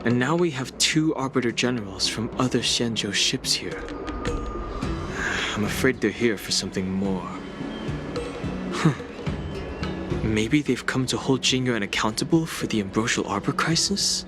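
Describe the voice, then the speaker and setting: An actor playing a calm, serious, analytical young man in a video game, speaking in measured, formal phrases. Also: mid-range voice, natural voice. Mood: grave, pondering. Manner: measured, grave, formal wording. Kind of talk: English video game voice acting. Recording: loud background music under the dialogue. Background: game music.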